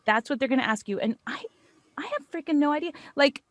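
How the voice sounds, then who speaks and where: Animated American woman telling casual anecdotes. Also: high voice, fast, no room sound. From United States. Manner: animated storyteller, self-deprecating, acts out quotes. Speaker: podcast guest, voice actor and business owner.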